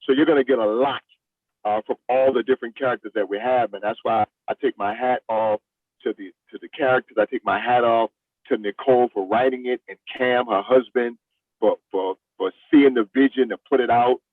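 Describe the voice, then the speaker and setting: A man's voice: medium pitch, steady tone, brisk, no echo. A man who directs and acts for the stage, talking casually and enthusiastically in an interview, repeating phrases for emphasis. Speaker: stage play director and actor. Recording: thin phone-like call audio.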